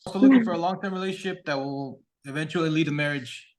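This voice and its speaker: Fast-talking man in his thirties. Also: a little high, animated. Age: 30s. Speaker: dating show participant.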